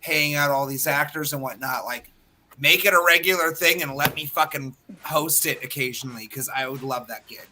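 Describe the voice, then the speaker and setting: A man with a raspy, hoarse voice, chatting casually as podcast co-host and drag performer, self-mocking with quick asides. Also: fairly high pitch, bright, forceful tone, a little hiss or hum. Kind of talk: casual chat.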